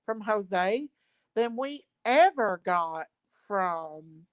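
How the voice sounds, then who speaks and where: A woman's voice: mid-range voice, lively intonation, very slow, no echo. Woman, livestream host, chatty comment-reader, dry close mic. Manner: reads viewer comments aloud, greets viewers by name. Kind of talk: casual livestream chat reading comments.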